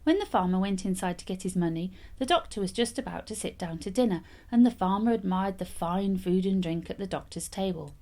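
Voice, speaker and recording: A woman reading a fairytale aloud as an expressive storyteller. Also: medium pitch, brisk, few pauses.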